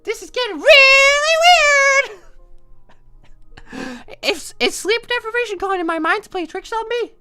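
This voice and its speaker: A woman's voice: extremely high pitch, a little slow, bright, forceful tone, soft background music, voice pushed up, heavily acted. A woman voicing an anxious, overdramatic teenage schoolgirl heroine in an anime visual novel. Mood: anxious, puzzled. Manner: exclaiming, overdramatic.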